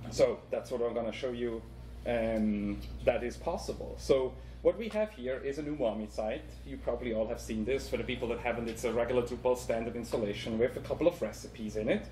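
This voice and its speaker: A man with a Swiss accent walks an audience through a live demo at a conference, stopping often on "um" and explaining step by step. His voice sits at a middle pitch. The hall around him sounds echoey, with a faint hiss underneath. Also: brisk. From Switzerland. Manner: relaxed, many ums, explaining step by step. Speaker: conference speaker. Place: conference room. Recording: echoey room with faint noise.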